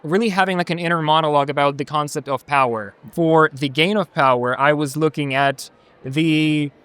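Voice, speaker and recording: Young male design student, slightly high, clean voice, emphatic. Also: steady tone, moderate pace, a bit bright, clean tone, no room sound, soft background music. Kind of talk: explaining own work in an interview.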